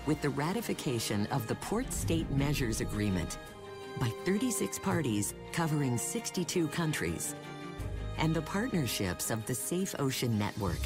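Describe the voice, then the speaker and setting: A female narrator reads a scripted documentary over music. Her voice sits a little low and moves expressively up and down.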